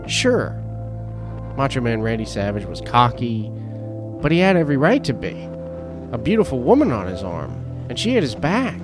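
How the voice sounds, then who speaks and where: A man reading a scripted story as a podcast host, in a dramatic, deliberate and emphatic way. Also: mid-range voice. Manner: theatrical, deliberate, emphatic phrasing. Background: prominent background music.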